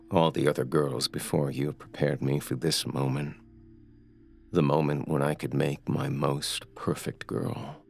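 A man playing a sadistic, possessive kidnapper and killer in a horror audiobook, speaking with menacing, mock-affectionate sweetness and a gravelly voice. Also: extremely low pitch, full character voice. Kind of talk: dramatic horror audiobook acting.

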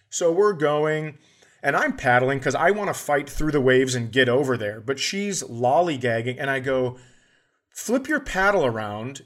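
A man hosting a podcast, telling a casual comedic story in a profane, exasperated way and acting out the dialogue. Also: on the high side. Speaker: podcast host.

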